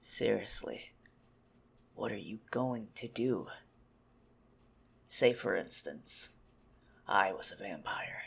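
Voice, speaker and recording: A man playing a teasing, menacing, possessive vampire in an ASMR roleplay, mocking and condescending, with a husky, breathy, gravelly voice that often drops to a whisper. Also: on the low side, lively intonation, slow, pauses often, fairly bright tone, heavily acted.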